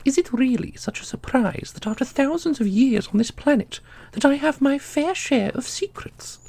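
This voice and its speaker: A woman's voice: high voice, lively intonation, normal speed, gravelly, warm tone. A woman playing an ancient, secretive divine custodian in a fantasy audio roleplay, knowing, theatrical and condescending. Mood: teasing.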